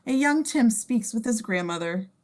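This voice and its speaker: Brief: female narrator for a casual script reading, higher voice, informal delivery with wry streak. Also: animated, normal speed, a little mellow. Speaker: scene description reader.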